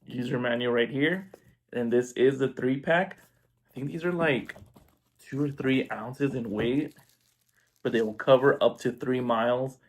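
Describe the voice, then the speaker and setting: A man's voice: medium pitch, measured pace, slight background noise. A man talking informally through a casual unboxing review, demonstrating step by step. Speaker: product reviewer. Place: indoors at home.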